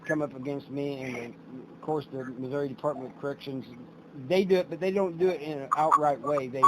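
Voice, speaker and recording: A man's voice: medium pitch, fairly quick. An American man hosting, chatting casually on the phone with a friend in an everyday conversational way. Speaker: host, talking by phone with a friend. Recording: noisy phone call recording, steady background noise.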